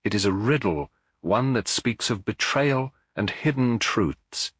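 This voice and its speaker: A man voicing a shrewd, calm Belgian gentleman detective in a mystery audiobook, deliberate, courteous and theatrical. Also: lowish voice, husky, no room sound, clean studio sound, pitched above the performer's own voice, exaggerated voice. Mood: calm, grave.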